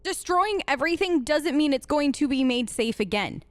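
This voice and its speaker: Higher-pitched woman, dry close recording. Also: expressive, moderate pace, no echo. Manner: informal, outspoken. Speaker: let's play host. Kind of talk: casual improvised role-play commentary on a game.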